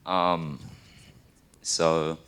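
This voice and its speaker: A man's voice: very deep voice, level tone, drawn-out words, fairly bright tone, clean tone. A young man from Australia, asking a question in a hesitant way with restarts. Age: 20s. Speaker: audience member asking a question.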